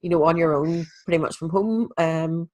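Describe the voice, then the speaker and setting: A woman's voice: low-pitched, normal speed, darkish tone. A woman hosting an interview podcast, sounding, stuffy with a cold, chatty and full of advice. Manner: chatty, friendly advice-giving. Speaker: podcast host. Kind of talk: casual interview chat.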